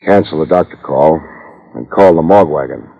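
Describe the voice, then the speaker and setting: Brief: hardboiled radio gumshoe, sardonic and clipped, low husky voice, muffled vintage broadcast sound. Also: male voice, a little fast, full character voice. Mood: grim, certain. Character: wry, tough, wisecracking male private detective. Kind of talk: 1950s radio detective drama, first-person narration and dialogue. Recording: muffled old radio recording with music.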